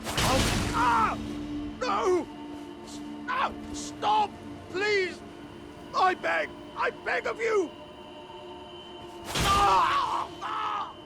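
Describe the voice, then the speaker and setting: A man's voice: very high voice, animated, extremely slow, gravelly, pauses often, loud music behind the voice, heavily acted. A man voicing a big, earnest, jovial living warrior jar in a video game, with theatrical, old-fashioned courteous speech. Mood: panicked pleading. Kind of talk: video game character acting.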